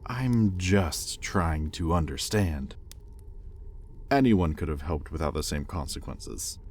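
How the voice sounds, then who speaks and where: Man playing a very deep-voiced, exasperated demon master. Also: expressive, darkish tone, full character voice. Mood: stern, troubled. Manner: sardonic, exasperated, with pointed pauses. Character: exasperated demon master, possessive, sardonic, grudgingly caring. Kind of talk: intimate audio roleplay, dramatic monologue.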